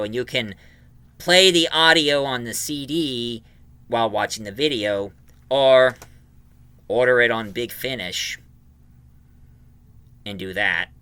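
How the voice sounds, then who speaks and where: Guy reviewing stuff on his channel, really sharp forceful voice, super clear, dry close sound, rambles on like an excited fan. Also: mid-range voice. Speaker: YouTube reviewer and host. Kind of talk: casual video review.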